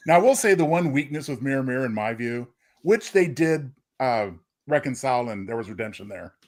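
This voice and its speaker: A man's voice: medium pitch, animated, fairly quick. A man co-hosting a podcast in a casual, conversational discussion.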